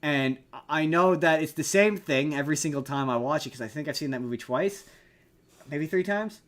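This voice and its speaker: A man's voice: on the high side, normal speed. A man hosting a podcast, chatting casually about film and TV in a rambling, jokey way and often repeating himself. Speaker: podcast host.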